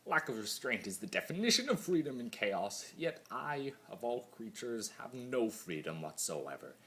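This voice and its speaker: A man voicing a mad, immortal chaos spirit in a theatrical dramatic monologue, torn between glee and brooding. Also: on the low side. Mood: hushed brooding. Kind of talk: dramatic fan-fiction monologue reading.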